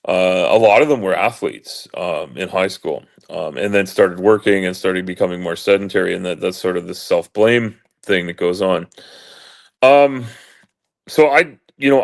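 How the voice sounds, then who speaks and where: A man in his late forties with a deep booming voice, talking haltingly with many fillers while in pain and trying to keep his voice even. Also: creaky. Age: 40s. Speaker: vlogger. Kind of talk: casual monologue.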